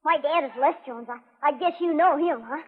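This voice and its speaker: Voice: sits high, fairly quick, close to an everyday voice. A performer playing an eager, friendly young farm boy in a 1950s radio western. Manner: eager, plainspoken, inquisitive. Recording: muffled, noisy vintage radio broadcast.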